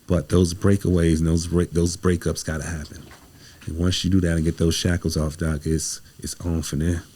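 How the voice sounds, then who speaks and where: Husky, very low-voiced American man, preacherly. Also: little pitch movement, normal speed, dark tone. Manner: preacherly, emphatic, repeats phrases. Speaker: podcast guest, playwright and director. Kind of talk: motivational conversational talk.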